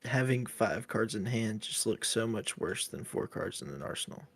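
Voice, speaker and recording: A man's voice: on the low side, animated, moderate pace, creaky, fairly bright tone. A man in his twenties, a co-streamer trading laid-back, teasing banter during a card game stream. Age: 20s. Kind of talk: casual live-stream banter.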